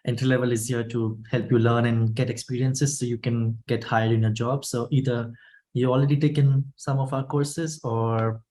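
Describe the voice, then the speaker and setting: A man with a mid-range voice moderates an online session. He speaks informally and haltingly, addressing attendees as he sets out how things will run. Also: mid-range voice, level tone, darkish tone. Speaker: host and moderator of an online workshop. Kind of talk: welcoming attendees and explaining session guidelines. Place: online video meeting.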